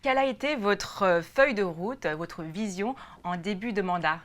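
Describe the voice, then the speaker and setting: A woman's voice: medium pitch, normal speed, fairly bright tone. A woman working as a television interviewer, putting formal, prepared questions in a polite, courteous way. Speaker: TV interviewer and host.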